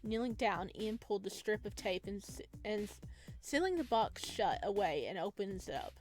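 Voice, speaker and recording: A woman reading a visual novel aloud as a let's play host, dropping chatty, expressive reactions between the narration. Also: medium pitch, moderate pace, faint music behind.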